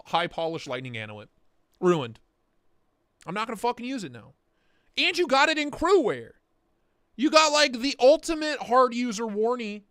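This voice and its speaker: This is a young man talking casually on a livestream, his voice hoarse because he is losing it. He sits high and jumps all over in pitch, cursing and breaking into quick mock voices. The sound is dry, close and has no room echo. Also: a bit bright. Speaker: livestream host.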